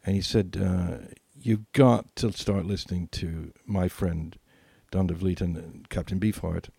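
Older man, British accent, mellow dark tone, heavy vocal fry, podcast reminiscence, emphatic repetition. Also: sits low, steady tone, a little slow, no echo. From Britain. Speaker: podcast co-host.

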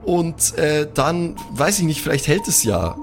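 A man's voice: fairly high pitch, sing-song, a little slow, vocal fry. A man chatting casually and slangily while improvising as a player in a tabletop role-playing show. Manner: colloquial slangy German with English bits. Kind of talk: casual improvised in-character chat. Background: music.

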